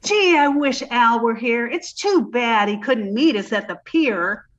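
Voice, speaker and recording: A woman's voice: on the high side, lively intonation, a little slow. A woman playing a naive, scatterbrained young woman in a radio comedy. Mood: breezy. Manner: breezy, sweetly dim. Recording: dull lo-fi recording.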